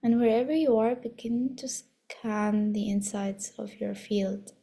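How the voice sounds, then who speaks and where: A woman guides a meditation in a mellow, soft voice with a noticeable crackle of fry. Her delivery is slow and soothing, giving gentle instructions with breathy touches. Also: on the high side, steady tone. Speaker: meditation guide leading a body scan.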